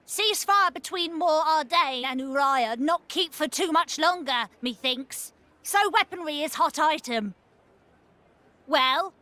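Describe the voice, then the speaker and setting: A woman's voice: sits high, pushed and bright, no room sound, full character voice. A woman voicing a small merchant creature who talks in broken, cutesy pidgin. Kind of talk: video game voice acting.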